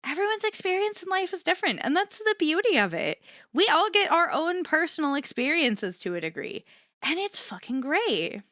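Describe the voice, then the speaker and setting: A woman livestreamer chatting casually and candidly to her viewers, swearing freely and repeating herself for emphasis, in a versatile but slightly rough voice. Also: sing-song, normal speed, sharp tone, no echo, clean studio sound. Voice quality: versatile voice, swinging between low and high. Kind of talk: casual rambling chat to an audience.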